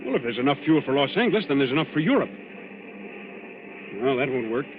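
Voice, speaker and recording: Actor as earnest young man, animated voice. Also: medium pitch, fast talker, close to an everyday voice. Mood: grave, pressing. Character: earnest, alert young male airline passenger and navigator. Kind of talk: 1940s radio acting. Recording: muffled, noisy old radio recording.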